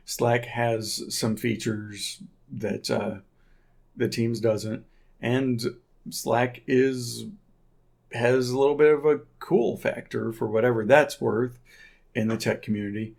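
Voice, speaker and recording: A man's voice: mid-range voice, fairly even intonation, slow, creaky, a little mellow. A man giving casual, unscripted tech commentary as a video host, in a conversational, opinionated way.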